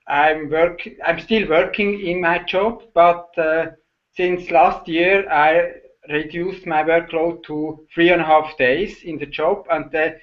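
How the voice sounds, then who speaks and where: A middle-aged Swiss man speaks English with a Swiss German accent in a slow, halting way, full of pauses. His voice sits a little high for a man. The audio is dull and lo-fi, as from a video call. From Switzerland. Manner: hesitant, halting, many uh fillers. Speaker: guest. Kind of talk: casual video call chat.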